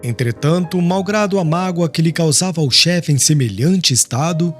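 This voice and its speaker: A man narrating a literary audiobook in a solemn, expressive, scripted manner. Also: fairly high pitch, moderate pace. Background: music.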